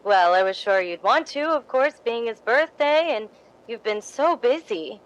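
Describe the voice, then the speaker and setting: A woman's voice: fairly high pitch, lively intonation, measured pace, poor audio. A woman playing an eager, deferential young assistant in a 1950s radio drama, polite and sweetly innocent. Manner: polite, ingenuous, eager to please.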